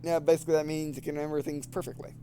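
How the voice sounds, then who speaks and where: A man doing casual let's play commentary, reading game dialogue aloud and thinking out loud, often repeating and restarting his phrases. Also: a little high, little pitch movement, very fast, slight background noise. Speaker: gameplay video host.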